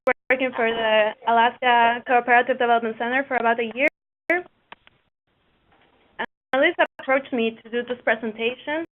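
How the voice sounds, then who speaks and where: A woman with a Mexican accent gives a webinar talk in a fairly high voice. She hesitates often and fills her pauses. The audio comes over a thin, phone-like line. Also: steady tone. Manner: hesitant, many fillers and restarts. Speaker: co-op business developer, presenter. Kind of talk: webinar presentation. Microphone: webinar call line.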